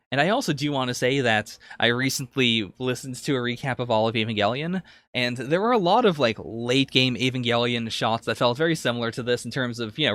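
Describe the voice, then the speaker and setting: A man's voice: medium pitch, a bit bright, no room sound, clean studio sound. A man co-hosting a podcast, discussing a review casually in tentative, hedging phrases. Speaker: podcast co-host.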